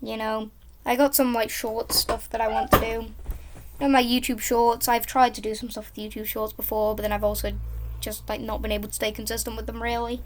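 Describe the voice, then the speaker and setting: A woman with a high voice talks through her gameplay, chatty and wandering from one topic to the next. Her pitch sits high but stays fairly level, without much rise and fall. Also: little pitch movement, a little fast, a little hiss or hum. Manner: rambling and chatty, drifting off topic. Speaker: gaming YouTuber. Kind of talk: casual commentary while playing a game.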